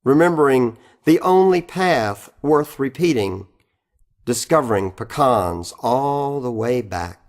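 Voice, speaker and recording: A man reading poetry aloud in a dramatic recitation with measured, expressive phrasing. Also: medium pitch. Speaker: poetry reader.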